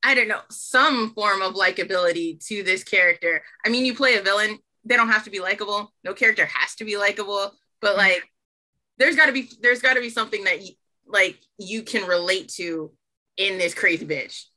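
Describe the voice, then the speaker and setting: A woman's voice: mid-range voice, brisk, pushed and bright, low-quality recording. A woman hosting a casual interview and asking loose, profane questions full of fillers. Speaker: host and writer.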